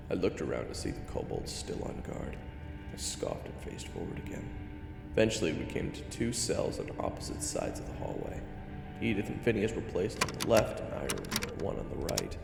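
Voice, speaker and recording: Gravelly, hot-headed swordsman narrator, a man acting. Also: fairly low pitch. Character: Xander, hot-headed, loyal male hook-handed swordsman narrator. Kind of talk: first-person fantasy story narration. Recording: echoey, reverberant, music underneath.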